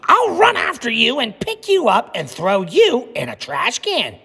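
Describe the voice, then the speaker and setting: A man's voice: very high voice, huge pitch swings, measured pace, gravelly, sharp tone, slightly rough, pitched above the performer's own voice, exaggerated voice. A man voicing a bossy, boastful young boy who hollers threats in a children's storybook read-aloud. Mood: bossy, hollering. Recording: echoey room with long reverb.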